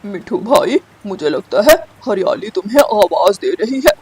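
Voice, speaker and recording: Woman voicing a loud, bossy male cartoon crow. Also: mid-range voice, expressive, moderate pace, a little hiss or hum, pitched below the performer's own voice, close to an everyday voice. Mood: sly. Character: scheming, bullying male crow husband, quick to scold. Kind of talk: children's cartoon voice acting.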